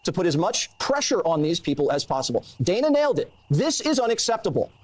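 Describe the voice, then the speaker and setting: A man's voice: high-pitched, big pitch swings, fast talker, darkish tone. A man giving an impassioned, emphatic political speech that rallies his listeners. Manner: emphatic, rallying.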